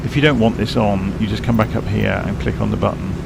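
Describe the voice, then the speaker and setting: A man streaming a flight simulator, explaining cockpit instruments in a rambling, self-correcting way, his voice croaky and tired from a late night. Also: lowish voice, steady tone, fast talker, heavy background noise. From England. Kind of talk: casual live-stream commentary.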